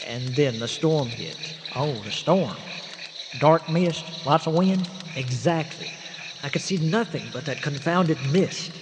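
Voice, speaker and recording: An actor playing a fussy, formal gentleman archaeologist, speaking precisely and pompously in old-fashioned phrases for a radio drama. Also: a little high, measured pace, background noise, close to an everyday voice. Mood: solemn storytelling.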